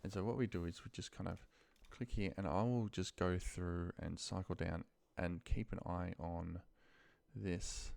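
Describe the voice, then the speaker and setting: Low, creaky-voiced man giving a casual walkthrough. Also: a little mellow, no echo. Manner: informal, muttering to himself while working. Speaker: instructor demonstrating a spreadsheet task. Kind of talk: casual screen-share tutorial, unscripted.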